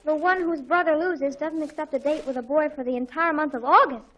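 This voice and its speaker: A woman acting an excitable teenage daughter with an American accent, gushing and melodramatic, in a 1940s radio sitcom. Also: sits high, brisk, muffled recording. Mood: haughty, boastful. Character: excitable, dramatic teenage daughter in a family comedy.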